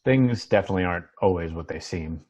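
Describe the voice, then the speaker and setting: A man's voice: low-pitched, expressive, a little mellow, husky, muffled recording. A man reading the part of an uneasy, questioning heir in a TV drama table read, speaking hesitantly. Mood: reflective, uneasy. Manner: hesitant, halting. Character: Muff, bewildered male heir, uneasy and questioning. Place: home, remote video call.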